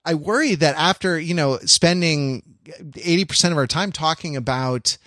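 A man's voice: on the high side, moderate pace, bright, forceful tone, no room sound. A man co-hosting a casual podcast discussion, rambling and often restarting his phrases mid-thought. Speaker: podcast co-host.

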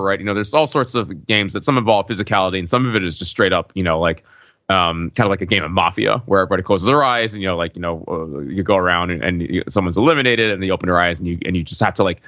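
A man with a slightly low voice speaks casually on a podcast panel, feeling his way haltingly through his thoughts. The sound is very dry and a bit dull. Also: a bit bright, no echo. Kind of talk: casual discussion.

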